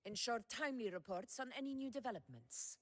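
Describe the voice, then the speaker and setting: A woman voicing a composed, commanding female ruler in a fantasy game, with formal, measured authority. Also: on the high side, expressive. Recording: long reverberant hall echo.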